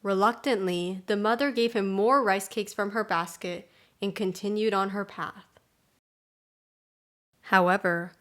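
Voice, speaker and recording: A woman storyteller reading a scripted folktale narration. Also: mid-range voice.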